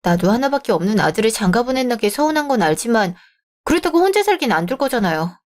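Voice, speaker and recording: A woman voicing a young bride-to-be in a radio drama, polite and measured but firm. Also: on the high side, very animated, darkish tone. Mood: troubled.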